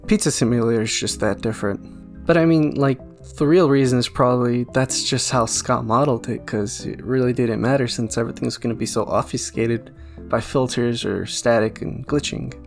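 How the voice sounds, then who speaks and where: A man's voice: mid-range voice, steady tone, normal speed, creaky. A man giving casual fan theory commentary, rambling and jokey with a self-deprecating streak. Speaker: YouTube video essayist. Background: background music.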